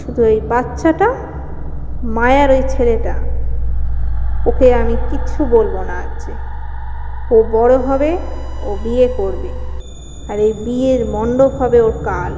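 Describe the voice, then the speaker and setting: A woman's voice: on the high side, a little slow, pauses often, warm tone, natural voice. A woman acting a wronged, childless young wife who becomes a vengeful ghost in a horror audio drama. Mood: vengeful, menacing. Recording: long hall reverb, clear background noise.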